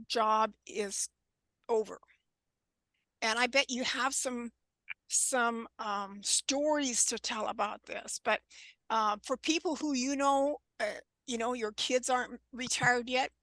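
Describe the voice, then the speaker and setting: Slow, bright-voiced woman in her 70s. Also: a little high, no room sound. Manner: unhurried, halting, frequent throat clearing. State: scratchy voice. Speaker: guest presenter on wellness. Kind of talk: informal presentation with discussion.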